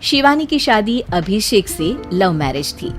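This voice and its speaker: A woman's voice: mid-range voice, very animated, unhurried, over loud music. A woman narrating a scripted moral story as a storyteller.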